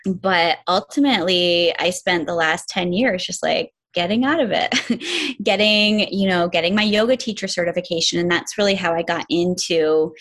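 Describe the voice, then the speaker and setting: A woman's voice: lowish voice, moderate pace, a bit bright. A woman in her forties from upstate New York, a yoga and self-love teacher, talking reflectively as an interview guest with many "like" fillers. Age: 40s. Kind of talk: casual interview chat.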